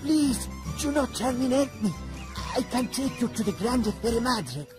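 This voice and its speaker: Suave dramatic cat swordsman, spanish accent, swoopy pitch, music behind. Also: animated, normal speed. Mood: pleading. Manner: theatrical. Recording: music behind game dialogue.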